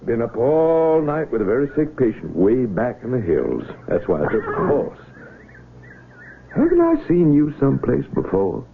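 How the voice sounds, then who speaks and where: An actor playing a calm, inquisitive country physician in a radio drama, with a husky American voice and a measured, gently questioning manner. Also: male voice, mid-range voice, noisy background. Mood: courteous, surprised. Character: calm, inquisitive, patient country physician of the Civil War era. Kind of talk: 1970s radio drama acting. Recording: narrow, tinny vintage radio broadcast.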